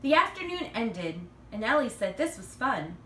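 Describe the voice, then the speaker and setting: A woman reads a children's picture book aloud in an expressive, animated way, acting out the dialogue. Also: fairly high pitch, moderate pace. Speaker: read-aloud reader. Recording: roomy, distant mic, faint noise.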